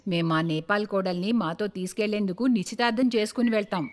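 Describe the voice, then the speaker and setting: A woman playing a gracious middle-aged mother-in-law in an animated moral story, polite and appreciative. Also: mid-range voice, quick pace, a little hiss or hum, close to an everyday voice. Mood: pleased.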